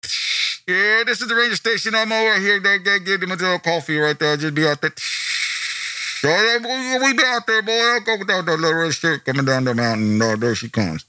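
A man's voice: high-pitched, animated, normal speed, pushed and bright, clean tone, dry recording, voice pushed up, heavily acted. A man doing goofy walkie-talkie radio chatter. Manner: hissing radio static sounds, drawling.

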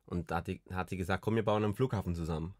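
Deep-voiced young man from Colombia. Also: racing, darkish tone, dry recording, clean studio sound. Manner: rambling, many restarts and fillers. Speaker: podcast co-host. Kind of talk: casual chat.

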